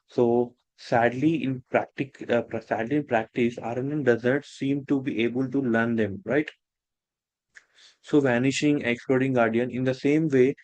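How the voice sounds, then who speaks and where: A man teaching a technical lesson, talking in a halting way with many restarts and fillers. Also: mid-range voice, darkish tone, dry recording, low-quality recording. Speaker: instructor. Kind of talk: technical lecture.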